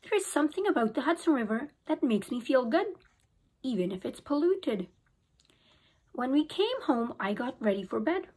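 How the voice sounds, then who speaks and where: A young woman teacher reading a children's book aloud in an expressive storytelling manner, recorded close on a phone in a small room at home. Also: high voice, moderate pace, breathy edge. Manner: expressive storytelling, occasional restarts. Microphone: phone camera held close.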